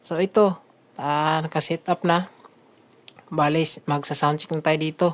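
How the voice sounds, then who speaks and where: A Filipino woman with a fairly low voice narrates a hands-on how-to video. She speaks in a casual, conversational way and often addresses her viewers as a group of friends. Her delivery is that of a DIY builder explaining the work step by step. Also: fairly even intonation, unhurried. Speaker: DIY speaker-box builder and vlog host.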